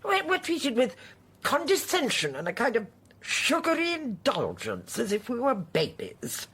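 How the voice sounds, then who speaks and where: A woman's voice: fairly high pitch, wildly swooping pitch, unhurried, a bit bright, husky, heavily acted. An actress playing a genteel, haughty older woman in a radio drama, a chatty and unrepentant poisoner with an imperious, emphatic manner. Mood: indignant.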